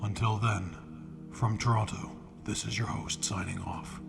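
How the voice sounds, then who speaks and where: A man hosting a radio drama program, reading scripted closing credits as an announcer in a hushed, breathy voice. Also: fairly low pitch, fairly even intonation, a little slow, over loud music.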